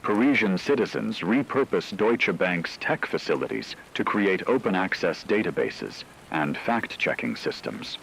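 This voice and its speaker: A man playing an earnest, solemn radio news anchor, reading a scripted broadcast in a formal, measured way. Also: low voice, very animated, creaky, fairly bright tone, a little hiss or hum, exaggerated voice, poor audio.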